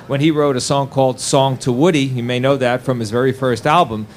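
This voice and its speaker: A man's voice: medium pitch, fairly even intonation, a little fast, a little hiss or hum. A middle-aged man from New Jersey speaking earnestly on a panel as an author, often restarting his phrases. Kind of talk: casual panel talk.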